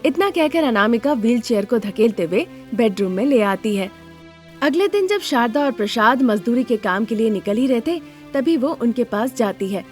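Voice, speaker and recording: A woman's voice: fairly high pitch, expressive, normal speed, faint music behind. A woman reading scripted narration of a moral story as the narrator.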